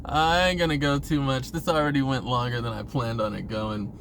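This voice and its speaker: A man's voice: mid-range voice, steady tone, a little fast, fairly bright tone. A man giving a casual, rambling personal monologue in an informal, profane way, chuckling now and then. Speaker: vlogger talking about himself. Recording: clear vehicle-like ambient noise.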